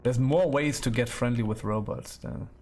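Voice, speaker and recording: Creaky-voiced man streaming a game. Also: mid-range voice, moderate pace, a little mellow, slight background noise. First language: German. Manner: musing, thinking aloud, repeats words. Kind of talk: casual game commentary, chatting with viewers.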